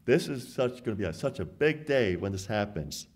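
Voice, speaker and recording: A man's voice: on the low side, animated, darkish tone. Earnest man preaching at a lectern. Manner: earnest, emphatic, slows down to read scripture aloud. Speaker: church speaker. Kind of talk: sermonette with scripture reading. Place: church hall, standing at a lectern. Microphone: lectern microphone.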